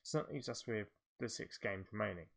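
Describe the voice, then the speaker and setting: A man's voice: on the low side, fairly quick, gravelly. A man giving casual video game commentary, thinking aloud in a hesitant, rambling way. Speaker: video game show presenter.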